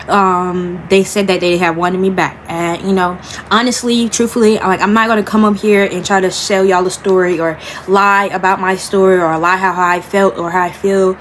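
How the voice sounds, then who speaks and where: A woman's voice: mid-range voice, a little hiss or hum. A young woman from Florida telling her own story in a casual storytime vlog, hesitant, with many fillers and restarts. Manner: halting, many fillers and restarts, keeps asking "you feel what I'm saying". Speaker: vlogger.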